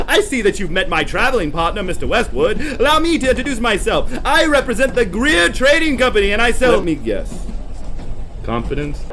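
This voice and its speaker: A man puts on a self-assured western peddler for an audio drama. His formal patter is high and very animated, with train noise behind. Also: heavily acted. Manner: showy, formal salesman patter. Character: smooth-talking, self-assured Old West traveling salesman.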